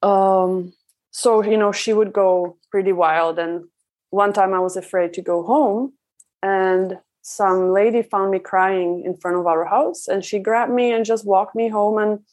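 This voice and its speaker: A woman's voice: medium pitch, steady tone, a little slow, darkish tone. A woman from the Czech Republic speaking as an interview guest, telling personal stories in a conversational way. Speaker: parenting coach, interview guest.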